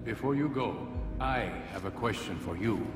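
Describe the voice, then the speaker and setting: An actor playing an aged, commanding assassin master in a video game, speaking as a grave, oratorical mentor. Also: male voice, fairly low pitch, lively intonation, normal speed, roomy sound, in a large hall, recorded from a distance, music in the background, lightly acted. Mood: grave, probing. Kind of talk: video game cutscene dialogue.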